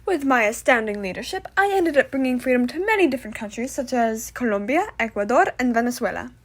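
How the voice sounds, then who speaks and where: A woman acts out a proud revolutionary leader on a comic puppet show. The delivery is high and lively, with a matter-of-fact, self-satisfied way of boasting. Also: fast talker. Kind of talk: comedic puppet-show interview.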